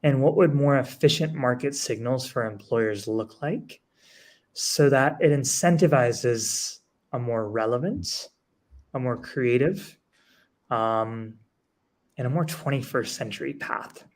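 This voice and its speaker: A man's voice: medium pitch, slow pace. A man speaking as an education panelist, analytical, building his points through rhetorical questions. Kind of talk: panel discussion answer.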